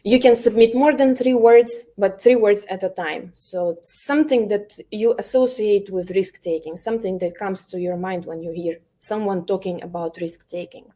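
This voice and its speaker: Mellow-voiced woman leading an online workshop. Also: medium pitch. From Ukraine. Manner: halting, many fillers and restarts. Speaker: elementary teacher presenting a workshop. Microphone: video call.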